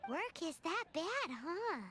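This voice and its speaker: A woman voicing a tiny, cheeky, childlike sprite companion with a squeaky voice in a video game. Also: very animated, takes it slowly, a bit bright, soft background music, full character voice. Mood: perky.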